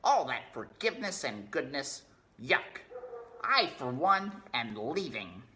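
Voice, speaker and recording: A man voicing a disdainful, fastidious villainous rat in a storybook. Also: medium pitch, big pitch swings, pushed and bright, a little hiss or hum, voice pushed up, exaggerated voice. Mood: disgusted.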